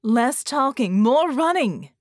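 Woman acting a shouting, urgent male combat operative. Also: sits high, sing-song, slow pace, no room sound, clean studio sound, pitched above the performer's own voice, full character voice. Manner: shouting, urgent, clipped commands. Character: brash male operative urging comrades on in battle.

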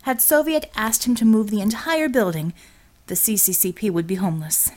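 A woman's voice: mid-range voice, big pitch swings, normal speed, darkish tone. A woman reading a scripted story as an audiobook narrator, in a dramatic storytelling style.

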